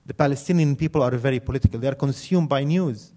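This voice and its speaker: A man's voice: mid-range voice, fast, dark tone. A middle-aged man lecturing in an emphatic, ironic way, building his points in rhythmic lists. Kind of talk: critical commentary on film and culture.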